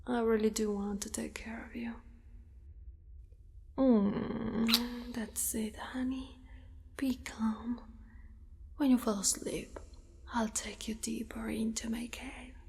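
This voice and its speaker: Slow, whispery spider monster woman played by an actress. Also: fairly high pitch. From Italy. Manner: soft, breathy, often whispered, slow and coaxing. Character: possessive, doting female spider monster who kidnaps a mate. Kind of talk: ASMR monster roleplay. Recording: long cavernous reverb.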